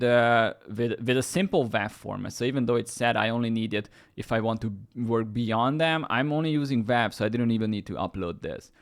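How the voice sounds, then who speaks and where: A man whose first language is Hungarian, hosting a casual screen-share tech tutorial, talking loosely with many fillers and restarts. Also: medium pitch. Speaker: YouTube tech host.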